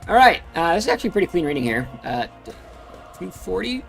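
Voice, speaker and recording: A man talks through trading charts in a loose livestream style, drifting off on tangents and filling pauses with "uh". His voice sits a little on the high side. A song plays faintly underneath. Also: big pitch swings, creaky. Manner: chatty, rambling, frequent uh fillers. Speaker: crypto chart streamer. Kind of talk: casual livestream chart commentary. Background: music playing.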